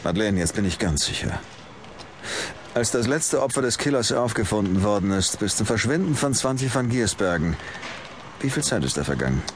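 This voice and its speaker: A man's voice: fairly low pitch, very animated, normal speed, a little hiss or hum. A male actor playing a cynical, world-weary middle-aged police detective in a crime audio drama, with a biting, emphatic delivery. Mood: grim, intense.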